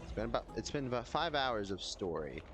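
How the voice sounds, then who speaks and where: A man's voice: mid-range voice, very animated, fairly quick. A man streaming a video game, giving casual, rambling, informal and self-deprecating live commentary. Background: game music.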